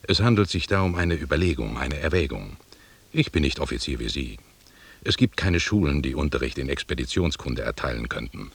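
Very deep, gravelly, imperious expedition leader. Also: male voice, animated, heavily acted. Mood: stern, lecturing. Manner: deliberate, lecturing, condescending. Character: imperious, self-taught expedition leader, condescending and exacting. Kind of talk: German radio drama acting.

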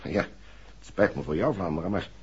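A man's voice: low voice, expressive, normal speed, darkish tone, breathy edge, full character voice. An actor playing a hesitant, apologetic male visitor to a detective in a 1960s Dutch radio drama. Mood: uneasy, apologetic. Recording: dull, muffled 1960s radio recording.

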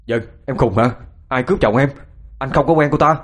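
A man playing an exasperated husband in an audio story, hurried and prone to stammer. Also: on the low side, a little mellow, breathy edge. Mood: angry scolding. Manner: irritable, scolding, hurried, stammering. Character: exasperated husband of a crazed wife, scolding, apologetic. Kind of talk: audio ghost story acting.

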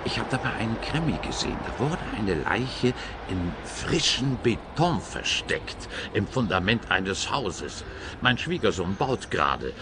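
Indignant neighbour, pompous, blustering, lowish but animated, comic radio drama, background noise. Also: male voice, measured pace. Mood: scheming, blustering. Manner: blustering, hesitant trailing phrases. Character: indignant, pompous male neighbourhood busybody, bumbling and lecherous. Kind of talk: comic radio play acting.

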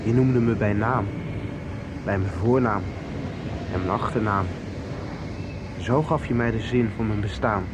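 A man's voice: lowish voice, a little slow, pauses often, very noisy, close to an everyday voice. An actor playing an earnest, doubting young man in a radio soap, speaking in a hesitant, sentimental way. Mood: tender, hesitant.

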